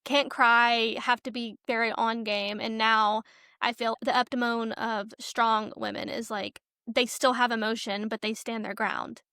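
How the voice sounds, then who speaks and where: A woman's voice: fairly high pitch, flat delivery, measured pace, bright, forceful tone, clear, resonant tone, no echo, studio quality. A woman co-hosting a podcast interview in a casual, informal way, often dropping in "like". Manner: informal, peppers talk with "like". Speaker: podcast co-host.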